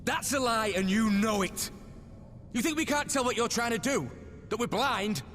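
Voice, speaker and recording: A man's voice: extremely high pitch, expressive, normal speed. An actor playing an earnest, unyielding male comrade in a dramatic video game cutscene. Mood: indignant. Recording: long hall reverb, loud music behind.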